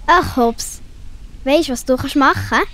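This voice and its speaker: A woman's voice: high voice, unhurried, a bit bright. A woman voicing a caring, perky young schoolgirl with a Swiss German accent in a children's audio play. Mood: encouraging.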